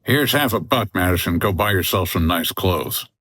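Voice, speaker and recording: An actor playing a gruff, sardonic hotel owner and boat pilot in old-time radio style, terse and dry like a tough guy. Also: deep voice, expressive, moderate pace, bright, forceful tone, full character voice.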